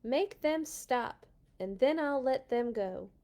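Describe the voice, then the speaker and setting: A pouting, bratty king of Egypt from a children's storybook, put on by a woman reading aloud. He is stubborn and emphatic, with a whine that often jumps up high. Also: expressive, slow pace, dark tone, full character voice. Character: petulant, stubborn male Pharaoh of Egypt.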